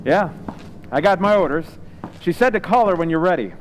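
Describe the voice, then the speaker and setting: A man's voice: high-pitched, expressive, a little fast, slight background noise, poor audio. A man playing a movie star's resentful, scheming husband in an old-time radio drama, speaking tersely and conspiratorially. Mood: cold.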